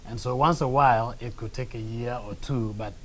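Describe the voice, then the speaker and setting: A young Ghanaian man speaks at an ordinary male pitch, choosing his words slowly with plenty of "uh" and "you know." Faint background noise runs under his voice. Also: fairly even intonation. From northern Ghana. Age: young adult. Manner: measured, hesitant, many fillers and a soft laugh. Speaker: guest, documentary filmmaker. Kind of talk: interview conversation.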